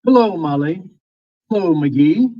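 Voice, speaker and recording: Man playing a pompous, husky, slow-spoken mayor. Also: on the high side, animated, dull audio. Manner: pompous wordy diction, stammers when flustered. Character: pompous, easily flustered male small-town mayor. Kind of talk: old-time radio comedy acting.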